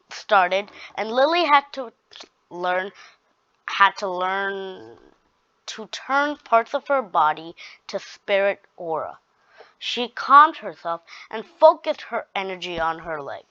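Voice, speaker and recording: A woman reads her own story aloud, halting and restarting her sentences as she goes. Her pace is slow and her tone bright and a little tense. The recording is dull and dry. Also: mid-range voice, no room sound. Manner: halting, with frequent restarts and repeated words.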